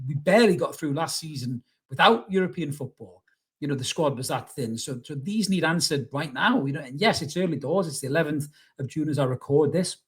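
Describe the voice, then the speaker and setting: A middle-aged man hosting a casual football fan vlog, chatty and rambling, with blunt opinions and rhetorical questions, in a slightly husky voice. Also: fairly high pitch, a bit bright. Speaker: YouTube football channel host.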